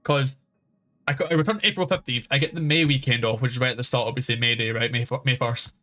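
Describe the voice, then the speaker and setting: A man's voice: mid-range voice, fairly even intonation, fast, bright, forceful tone. A man chatting casually as a game streamer, rambling and swearing freely as he goes. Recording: music playing behind the voice. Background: background game music.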